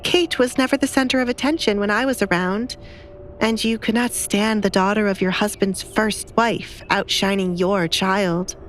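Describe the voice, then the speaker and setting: A woman's voice: medium pitch, fairly even intonation, normal speed, lightly acted. A woman voicing a bitter, defiant young noblewoman in an audio drama, blunt and sarcastic, with light background noise. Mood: blunt, cold.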